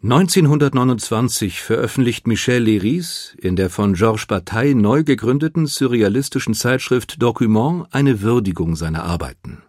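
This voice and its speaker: A man reading scripted biographical narration as a documentary narrator, measured and factual. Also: mid-range voice, expressive, darkish tone, dry recording, clean studio sound.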